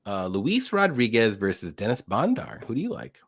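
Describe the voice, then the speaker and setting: A man in his late thirties hosting a casual live stream, chatty and quick to greet viewers by name. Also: medium pitch, wildly swooping pitch. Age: 30s. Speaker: host of a betting picks show.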